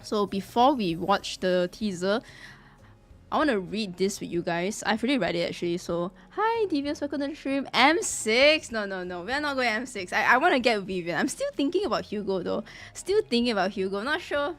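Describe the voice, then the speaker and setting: A woman's voice: mid-range voice, very animated, moderate pace. A woman live-streamer chatting casually with her viewers, greeting them in a chatty way. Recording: music playing behind the voice. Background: background music.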